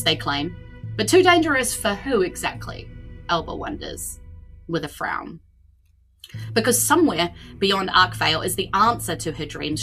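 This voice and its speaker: A woman's voice: mid-range voice, bright, forceful tone, low-quality recording. A woman with a New Zealand accent, hosting and narrating as game master on a tabletop roleplaying podcast.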